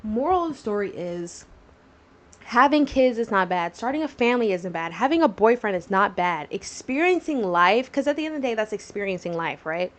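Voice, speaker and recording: A woman's voice: mid-range voice, animated, fairly quick. A woman in her twenties hosting a solo podcast, talking casually and rambling through tangents with many fillers. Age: 20s. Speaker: podcast host.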